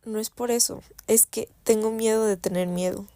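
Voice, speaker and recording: A woman's voice: on the high side, fairly even intonation, normal speed, dark tone, natural voice. A woman voicing a timid, reluctant seven-year-old boy in a radio drama. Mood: fearful. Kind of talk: scripted radio drama acting.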